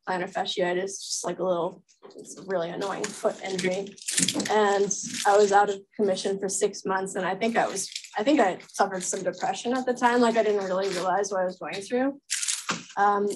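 A woman's voice: medium pitch, background noise. Chatty woman on a video call. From Belgium. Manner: chatty, filler-heavy, many "like"s. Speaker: guest speaker, Olympic triathlete. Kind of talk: casual talk answering questions.

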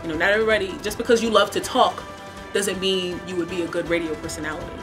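A woman's voice: on the low side, steady tone, fast talker. A woman speaking insistently in an interview, repeating phrases for emphasis. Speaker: radio industry interviewee. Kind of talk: documentary interview talk. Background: music.

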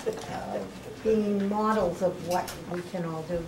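A woman's voice: medium pitch, fairly even intonation, slow pace, crowd noise. A woman in the audience commenting earnestly and emphatically. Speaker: audience member. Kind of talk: casual comment. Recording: echoey room with noise.

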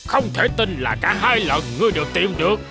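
A man's voice: high-pitched, sing-song, fast, creaky, over music, full character voice. A man voicing a hungry, scheming wolf villain in a children's cartoon, theatrical and menacing.

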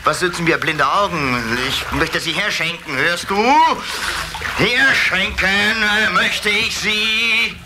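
An actor playing a creepy, boastful lamprey fish in a children's radio play, with a drawling, wheedling manner. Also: male voice, sits high, background noise. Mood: pleading, wheedling. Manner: drawling, wheedling, hesitant pauses.

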